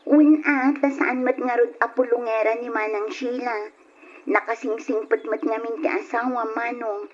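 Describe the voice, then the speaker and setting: A fairly high female voice with a Filipino accent plays a frank younger sister an actress's role. She confides earnestly and with sympathy, in an old radio drama that sounds dull and lo-fi. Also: steady tone, moderate pace, slight background noise, natural voice. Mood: earnest, sympathetic. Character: younger sister, frank, sympathetic tattler to her big brother.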